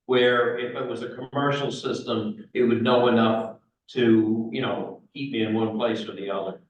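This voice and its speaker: A man's voice: mid-range voice, steady tone, moderate pace, a little hiss or hum. Distant, very echoey man. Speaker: club member commenting from audience. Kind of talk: informal technical comment. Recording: very echoey room, distant, muffled.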